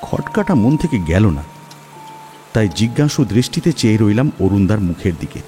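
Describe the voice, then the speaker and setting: Male horror audiobook narrator; lively intonation, theatrical storytelling over light background music. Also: fairly low pitch, normal speed. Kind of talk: dramatic scripted horror story narration in the first person. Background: music, rain.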